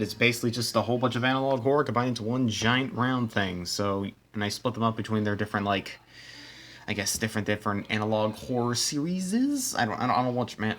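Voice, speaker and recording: Male host for casual game commentary: quick, rambling, loose delivery with deadpan asides. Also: medium pitch, slight background noise. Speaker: host narrating a simulation.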